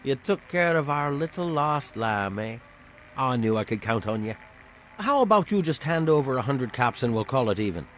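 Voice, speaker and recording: An actor playing a sly, mercenary information dealer in a game, with a smug, oily, teasing manner. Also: male voice, medium pitch, very animated, moderate pace, a bit bright, soft background music. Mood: smug, wheedling.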